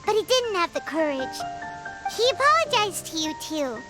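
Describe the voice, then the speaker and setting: A tiny fairy girl from a video game with an extremely high, squeaky voice that bounces around in pitch. She is bubbly, curious and childlike, with prominent music playing behind her. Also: very animated, normal speed, poor audio. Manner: refers to self in third person, bubbly. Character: small floating fairy girl companion, childlike, chirpy and curious.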